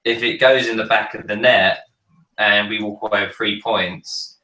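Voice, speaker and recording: A man with a croaky, cold-hoarsened voice, chatting casually with many ums on a fan podcast. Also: on the low side, fairly even intonation, normal speed, sharp tone, roomy sound, recorded from a distance, low-quality recording. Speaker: podcast panelist. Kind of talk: casual chat.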